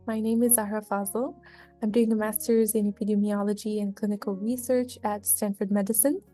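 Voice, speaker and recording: A young woman from Tanzania, a graduate student researcher, telling her story in a measured, earnest way. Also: medium pitch, level tone, mellow, faint music behind. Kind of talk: personal storytelling documentary voice-over.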